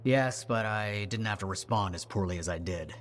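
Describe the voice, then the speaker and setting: An actor playing an earnest, bookish arcanist in a video game, speaking in a polite, well-spoken, reflective way. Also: male voice, fairly low pitch, moderate pace, slight background noise, natural voice. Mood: apologetic. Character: earnest, bookish male arcanist, former street kid. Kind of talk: game dialogue acting.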